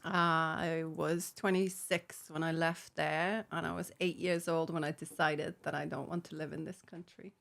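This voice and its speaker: Finnish-accented woman, low and candid. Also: flat delivery, normal speed, vocal fry, hardly any pauses. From Finland. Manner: reflective, frank, laughs often between phrases. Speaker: podcast guest. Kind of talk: candid personal recovery story.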